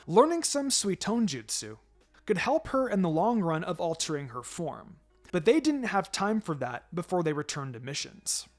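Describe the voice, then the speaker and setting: A man's voice: sits high, very animated, normal speed. A man reading fan fiction aloud as a narrator, in a dramatic scripted storytelling style. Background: music.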